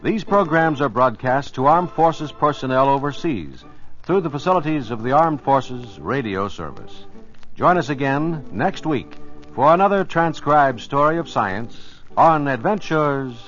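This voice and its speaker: A man's voice: mid-range voice, animated, measured pace, fairly bright tone, soft background music. A man delivering formal, dramatic scripted narration as a 1950s radio announcer. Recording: muffled old radio transcription.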